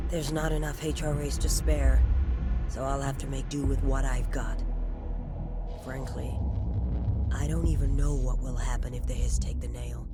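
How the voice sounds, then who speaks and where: Actor as tired lone agent guy, game monologue, grim flat delivery, loud music behind. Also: mid-range voice, lightly acted. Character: weary, dutiful male operative soldiering on alone.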